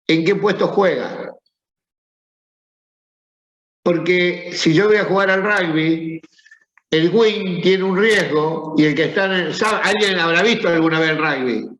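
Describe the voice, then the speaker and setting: A man's voice: high voice, moderate pace, a bit bright. A man with a Rioplatense Spanish accent, teaching a class in a conversational way and putting questions to his students. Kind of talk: classroom lecture. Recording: echoey room, dull sound.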